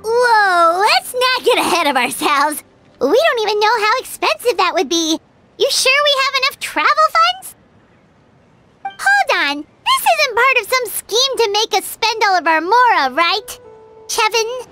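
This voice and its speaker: A woman voicing a small, excitable fairy-like girl companion with a squeaky, exclamatory delivery in a video game. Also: measured pace, faint music behind, heavily acted. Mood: wary. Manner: refers to self in third person, squeaky and exclamatory.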